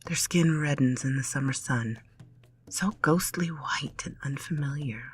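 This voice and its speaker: A woman narrating a story in a dramatic, breathy, often whispered style. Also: very deep voice, measured pace, soft background music.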